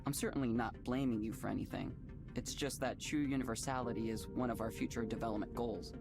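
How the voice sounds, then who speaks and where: An actor playing a polite, earnest tech developer in a visual novel, speaking courteously and in a measured way, with music behind him. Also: male voice, medium pitch, fairly even intonation, vocal fry, close to an everyday voice. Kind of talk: visual novel voice acting, conversational.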